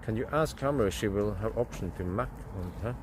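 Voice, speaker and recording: A deep-voiced man rambles on as host of a live stream, musing aloud and circling back on his own words. His voice sits low and relaxed. Steady car and street noise hums behind him. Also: fast talker. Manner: rambling, chatty, thinks aloud with fillers and restarts. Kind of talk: casual live-stream chat.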